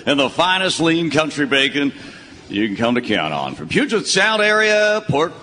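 Bright, grandiose old sportscaster. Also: male voice, sing-song, normal speed. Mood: grandiose, folksy. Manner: booming announcer delivery at home. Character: elderly retired sports broadcaster announcing daily life, folksy, grandiose. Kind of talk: old-time sportscaster patter, radio play. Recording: reverberant hall, faint noise.

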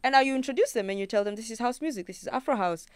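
Young Kenyan woman, interview guest, lively storyteller; very clean voice, dead-dry studio. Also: fairly high pitch, rapid-fire, clear, resonant tone, no room sound. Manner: animated storyteller who acts out her own thoughts in quotes. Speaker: guest singer-songwriter. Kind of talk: casual interview chat.

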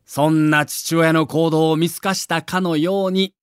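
A man's voice: a little high, steady tone, normal speed, clean tone, dry recording, studio quality. A man reading a scripted documentary narration with a theatrical storyteller's delivery.

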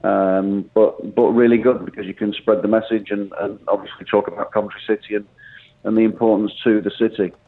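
A man's voice: fairly low pitch, flat delivery. Man speaking over a tinny phone line. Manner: halting, many restarts and fillers. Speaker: football manager guest. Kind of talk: phone interview.

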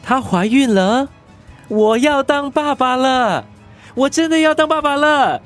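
A distraught young Singaporean husband in a radio drama. He is impulsive and full of remorse, his pitch jumping around with feeling. Faint music sits behind him. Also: expressive, measured pace. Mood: shocked, excited.

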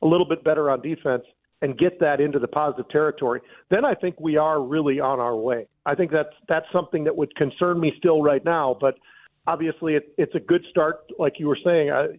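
Through a thin, phone-like connection comes an American man with a slightly high voice. He co-hosts a sports talk show, offering conversational commentary. He speaks haltingly, rephrasing his thoughts as he goes. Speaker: sports talk radio co-host. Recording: thin, tinny phone-like line.

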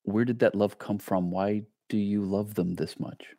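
Very deep-voiced male podcast host. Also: steady tone, moderate pace, warm tone, no echo, clean studio sound. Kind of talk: interview podcast hosting.

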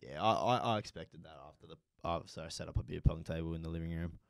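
A young man on a podcast, telling loose, profane stories in a fairly low voice. The sound is close and dry, with no room around it. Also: fast, gravelly, no echo. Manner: crude, swearing, animated storytelling. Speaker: podcast co-host. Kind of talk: casual chat.

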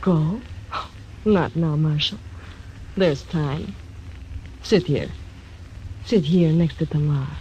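A woman's voice: low-pitched, slow, long pauses, warm tone, lo-fi sound, a little hiss or hum. An actress playing a seductive, scheming woman gambler, in 1940s radio acting. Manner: coaxing, pleading.

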